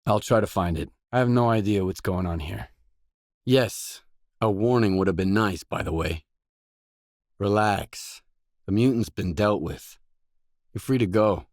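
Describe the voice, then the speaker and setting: A gruff, world-weary male stalker from a video game, talks in a low voice at a very unhurried pace. He is blunt and spare with his words, and the sound is close and dry. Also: darkish tone, dry recording. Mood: dry, guarded. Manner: terse, blunt, low-key. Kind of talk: scripted game dialogue lines.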